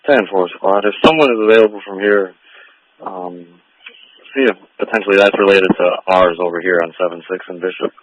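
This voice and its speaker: A man's voice: deep voice, steady tone, fairly quick, no echo, lo-fi sound. A man speaking as a police officer, calling in to dispatch in procedural phrases over a scanner radio. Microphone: two-way radio heard over a scanner.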